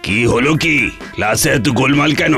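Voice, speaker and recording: A man's voice: medium pitch, a little fast, loud music behind the voice, close to an everyday voice. A man voicing a stern, moralising school headmaster in a cartoon, scolding in exclamatory, reproachful tones. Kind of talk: cartoon voice acting.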